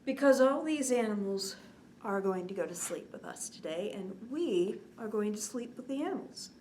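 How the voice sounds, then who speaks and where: A woman in her forties hosting a children's bedtime story time, expressive, drawing out words and often dropping to a hushed, whispery tone. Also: mid-range voice, normal speed. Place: indoors, small curtained set. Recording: clear background noise.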